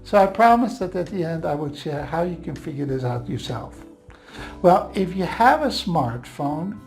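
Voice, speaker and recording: A man's voice: on the high side, vocal fry, darkish tone. Dutch-accented man teaching Bible grammar. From Netherlands. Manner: earnest, explanatory, reassuring asides.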